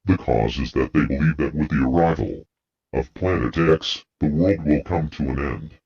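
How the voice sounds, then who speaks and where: A man's voice: extremely low pitch, normal speed, gravelly, darkish tone, slightly rough, lo-fi sound. A man's synthetic voice reading a scripted emergency bulletin in a formal, stern way. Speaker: emergency alert announcer.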